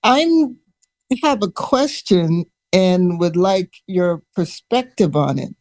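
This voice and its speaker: A woman calling in to ask a question, speaking in a measured, deliberate way. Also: on the low side, animated, darkish tone, no room sound. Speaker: caller. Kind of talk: asking a question on a call-in.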